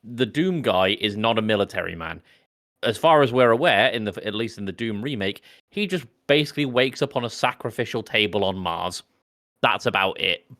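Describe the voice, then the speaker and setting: A man's voice: lowish voice, animated, moderate pace, a bit bright. A man talking casually as a podcast panellist, explaining things informally to friends.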